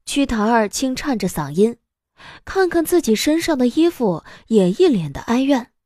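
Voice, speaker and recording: A woman narrating a scripted audio drama in an expressive storytelling style. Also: fairly high pitch, moderate pace, no room sound, studio quality.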